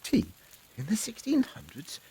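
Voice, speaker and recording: A man's voice: sits high, huge pitch swings, unhurried, dark tone, a little hiss or hum, full character voice, low-quality recording. A man playing an eccentric, affable time-travelling doctor in a sci-fi audio drama, whimsical and polite with slight hesitations. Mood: courteous, playful.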